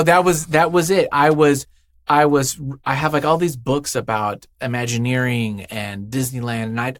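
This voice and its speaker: A man's voice: mid-range voice, dry recording, studio quality. A man chatting casually as an interview guest, telling things in a rambling way and acting out imagined quotes. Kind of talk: casual chat.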